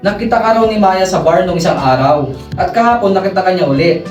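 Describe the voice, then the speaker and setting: A woman's voice: lowish voice, lively intonation, brisk, darkish tone, muffled recording, soft background music, natural voice. A woman playing a blunt, concerned young friend in a radio drama, confronting and exasperated. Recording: echoey, distant from the mic.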